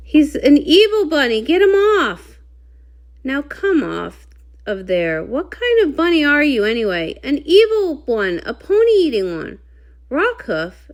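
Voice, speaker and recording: A woman reads comic dialogue aloud in character. Her voice is high and highly animated, and she takes her time over the lines. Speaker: comic read-along reader. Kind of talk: animated storybook character reading.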